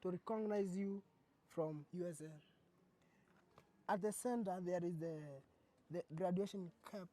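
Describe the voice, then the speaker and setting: A teenage female student with a Kenyan accent, explaining earnestly and haltingly, often repeating words. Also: fairly low pitch, mellow. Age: teens. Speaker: secondary school student. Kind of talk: explanatory presentation.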